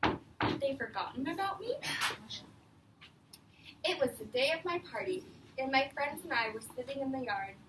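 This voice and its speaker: A woman teacher reading a picture book aloud to young children and asking them questions, in an expressive, encouraging, patient manner. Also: high-pitched, normal speed, long pauses. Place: indoor room with a group of young children. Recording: roomy, distant mic, faint background noise.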